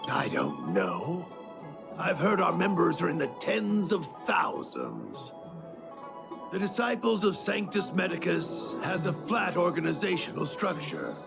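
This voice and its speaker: A man acting as a zealous cult disciple and former spearman in a video game, with a gravelly voice and deliberate, solemn, theatrical delivery. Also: a little high, wildly swooping pitch, heavily acted. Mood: guarded, calm. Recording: loud music under the voice.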